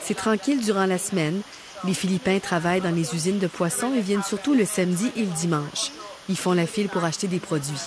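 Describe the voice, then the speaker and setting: Woman, lower voice, calm voice-over translation, crowd behind. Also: a little fast. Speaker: interpreter voicing a shop manager.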